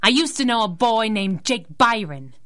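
Feisty, bright-voiced masked heroine. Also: female voice, fairly high pitch, lively intonation, normal speed. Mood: scolding. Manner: sassy, streetwise wisecracking. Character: feisty young masked heroine sidekick, tough and sassy. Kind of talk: 1930s radio drama acting.

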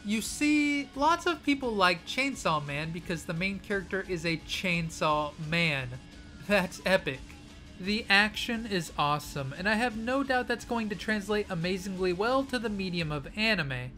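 A man's voice: sits high, animated, normal speed, sharp tone, soft background music. A man giving scripted anime commentary in a mock-serious, playfully dramatic way full of puns, recorded close to the mic. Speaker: anime YouTuber.

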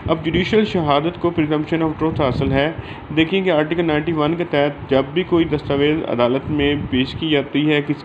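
A man with a Pakistani accent explaining material in an informal lecture, leaning on filler phrases, recorded on a phone. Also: on the high side. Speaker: law lecturer. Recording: dull lo-fi audio with faint background noise.

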